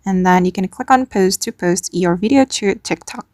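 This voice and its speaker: A woman's voice: medium pitch, moderate pace, darkish tone, no room sound, studio quality. A woman hosting an app tutorial, walking through each step in a plain instructional voice-over. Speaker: tutorial host. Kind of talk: screen-recorded app tutorial.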